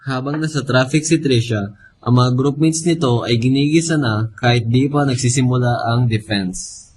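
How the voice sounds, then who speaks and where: A man's voice: mid-range voice, normal speed, darkish tone, slight background noise. Lalaking tagapagsalaysay, katamtaman ang boses. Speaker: narrator. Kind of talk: scripted radio drama narration.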